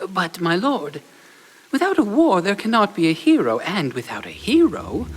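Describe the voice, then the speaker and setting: A man playing an oily, sycophantic, villainous advisor to a Roman lord in a theatrical audio drama, full of flattery and sinister giggles. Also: high-pitched, normal speed, husky, heavily acted, poor audio. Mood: scheming, insinuating.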